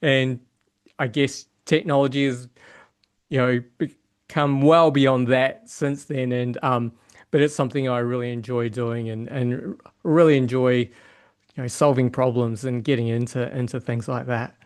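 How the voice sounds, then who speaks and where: A middle-aged man with a New Zealand accent, chatting casually as an interview guest in hesitant, halting phrases. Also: medium pitch, fairly even intonation, smooth. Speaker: podcast guest, software developer and yoga teacher.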